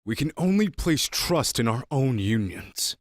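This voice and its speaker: Stern male leader acted by a man, medium pitch with wide swings, grave and declarative, very dry recording, anime fandub. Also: big pitch swings, a little slow, no echo. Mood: stern, imposing. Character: stern male faction leader preaching strength and victory. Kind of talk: anime game fandub acting.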